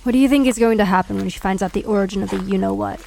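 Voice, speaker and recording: A woman's voice: mid-range voice, big pitch swings, rapid-fire, gravelly, mellow, slight background noise. A woman playing a bossy, wary park guardian in an audio drama, speaking in a curt, exasperated, commanding way. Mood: irritated.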